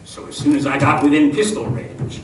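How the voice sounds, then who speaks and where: A man playing a dryly boastful cowboy lawman who narrates his showdown in deadpan old-time radio comedy style. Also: fairly high pitch, fairly quick, lightly acted. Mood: mock-solemn. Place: live stage radio show in a room. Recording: echoey stage, distant mic, clear noise.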